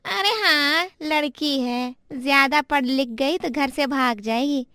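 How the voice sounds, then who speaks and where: A woman's voice: high voice, takes it slowly, no echo, studio quality, exaggerated voice. A woman playing a mocking, gossiping neighbour in a story drama. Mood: taunting. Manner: taunting, lively gossip.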